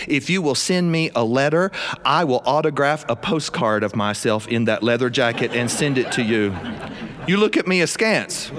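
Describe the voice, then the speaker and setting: A man's voice: medium pitch, fairly bright tone. A man from South Carolina with a Southern American accent telling a comic story live in a wry, exaggerated way. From South Carolina, USA. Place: performance studio before a live audience.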